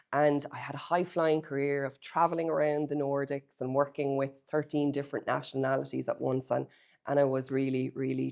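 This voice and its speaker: Irish woman in her middle years, earnest, low voice with a bit of fry, talks through her story in lists. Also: a little mellow. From Ireland. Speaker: real estate agency co-owner. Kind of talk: personal story to camera.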